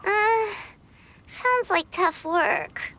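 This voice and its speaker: A woman voicing a tiny childlike companion creature in a video game, squeaky, innocent and curious. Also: very slow, pushed and bright, a little hiss or hum, exaggerated voice. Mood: puzzled. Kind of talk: scripted video game dialogue. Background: background music.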